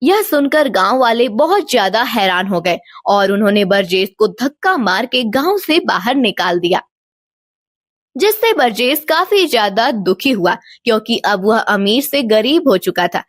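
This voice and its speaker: A woman's voice: on the high side, sing-song, a little slow, no echo, clean studio sound. A woman storyteller giving scripted narration of a moral tale.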